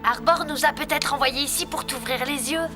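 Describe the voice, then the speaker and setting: A woman's voice: high voice, moderate pace, natural voice. A woman voicing a kind, polite, peace-loving young lion cub in a French children's cartoon. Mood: wonder. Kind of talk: dubbed children's cartoon acting. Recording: music under the voice.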